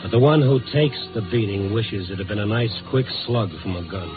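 A man's voice: fairly low pitch, animated, brisk, over music, close to an everyday voice. A man playing a wry, tough newspaper reporter in a 1950s radio noir drama, with a clipped, sardonic delivery. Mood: brooding, weary. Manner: sardonic, hard-boiled, clipped. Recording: narrow-band vintage radio broadcast.

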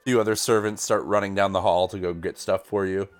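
A man's voice: deep voice, steady tone, fairly quick, faint music behind. A man narrating as game master in a tabletop game, talking casually and filling his sentences with "like". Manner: casual narration, frequent "like" fillers.

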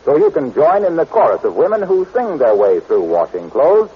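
A male announcer reads a 1940s radio commercial with a folksy selling style. His husky voice rises and falls in a lively way. The old recording sounds dull. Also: moderate pace. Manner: folksy salesman's pitch.

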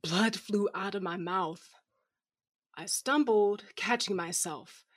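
A woman's voice: mid-range voice, slow. An actress playing a scrappy, drunken woman fighter who narrates her own audio drama scene in a wry, punchy way. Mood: wry, rattled. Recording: music under the voice. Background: music.

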